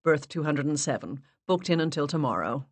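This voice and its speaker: A courteous young man at a front desk, clipped and firm in his refusals, as a woman narrator reads him. The tone sits low and steady, recorded close and dry. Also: fairly quick, no room sound. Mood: polite, firm. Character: polite, firm young male club receptionist.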